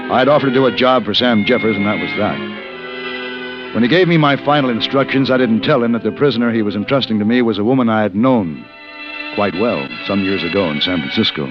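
An actor playing a middle-aged, courteous but hard gunman in a 1950s radio western, speaking in a measured, terse way. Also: fairly low pitch, lightly acted. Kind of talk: 1950s radio western acting and first-person narration. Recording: dull vintage radio recording with music.

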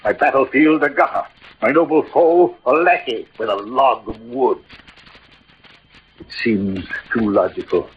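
A man's voice: medium pitch, slight background noise, lightly acted. An actor playing a proud, defiant, poetic dying swordsman in theatrical, declamatory 1940s radio verse style. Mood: wry, fading. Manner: declamatory, grandiloquent, dramatic pauses. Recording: muffled vintage radio recording, music behind.

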